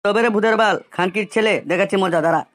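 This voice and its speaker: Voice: mid-range voice, racing, fairly bright tone, slight background noise, pitched above the performer's own voice, natural voice. A man voicing a brash, foul-mouthed young troublemaker in a comic cartoon, cocky and coarse. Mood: cocky, threatening.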